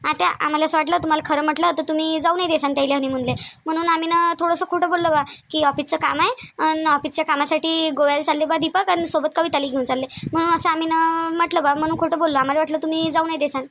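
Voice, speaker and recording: A woman's voice: high voice, fairly even intonation, fast, fairly bright tone. A woman voicing a young married daughter-in-law in a cartoon family skit, meek and evasive, with a Vidarbha Marathi accent. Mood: placating. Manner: placating, apologetic, repeats denials.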